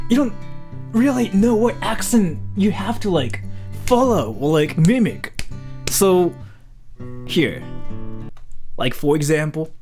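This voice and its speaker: A young man from Japan talks off the cuff to his stream, rambling and leaning on fillers at every turn. His pitch jumps around a lot, swooping up and dropping low. Soft guitar music plays faintly underneath. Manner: rambling, filler-heavy, says "freaking" and "like" constantly. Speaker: live streamer. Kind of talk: casual live-stream chat.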